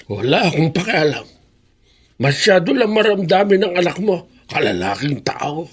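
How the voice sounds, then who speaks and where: A man's voice: on the high side, sing-song, normal speed, slightly rough. An older man with a Filipino accent playing a stubborn, self-pitying, sickly elderly father in a radio drama. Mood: grumpy, stubborn. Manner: obstinate, self-pitying, complaining.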